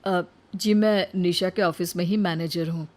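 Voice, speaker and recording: A woman's voice: on the low side, fairly even intonation, unhurried, a little mellow, natural voice. A woman voicing an earnest young male suitor in a moral story, polite and direct. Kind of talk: animated moral-story acting.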